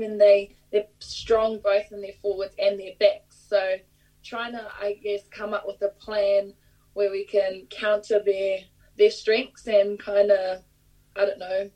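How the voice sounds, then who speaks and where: A woman's voice: medium pitch, darkish tone, far from the mic, poor audio. A young woman with a New Zealand accent, a rugby player chatting in an upbeat, easygoing way in an interview. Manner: upbeat and laughing easily. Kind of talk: remote interview.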